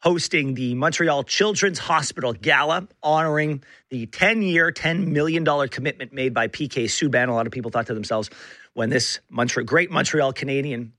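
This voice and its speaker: A man hosting talk radio with a slightly hoarse, rough-edged voice, halting and restarting as he makes emphatic points at a close studio mic. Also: medium pitch, bright, forceful tone, no room sound. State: hoarse, rough voice from being unwell. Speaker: radio talk show host. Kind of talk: talk radio monologue and banter. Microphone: close studio broadcast mic.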